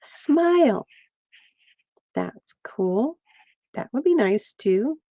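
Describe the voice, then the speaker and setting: A woman's voice: fairly high pitch, big pitch swings, extremely slow, warm tone, no echo, highs cut off. A middle-aged woman from Pennsylvania, chatting casually with viewers while she crafts on a livestream. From central Pennsylvania.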